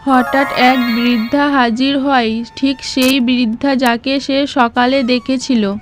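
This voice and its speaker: A woman's voice: high voice, fairly even intonation, takes it slowly. A woman narrating a scripted cartoon folk tale as a storybook narrator. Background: background music.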